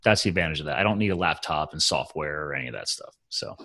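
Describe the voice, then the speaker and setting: A man's voice: a bass voice, animated, fast, gravelly, bright, forceful tone. A man hosting a podcast, chatting casually and rambling about recording equipment. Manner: chatty, rambling, talks with many restarts. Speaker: podcast host.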